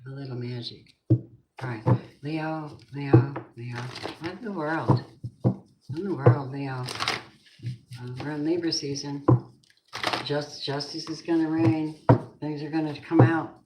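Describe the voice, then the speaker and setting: An older woman doing a live tarot reading, chatty and rambling, warmly calling her viewers babies. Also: low voice, fairly even intonation, measured pace, long pauses. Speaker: tarot reader. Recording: noisy home stream with clicks.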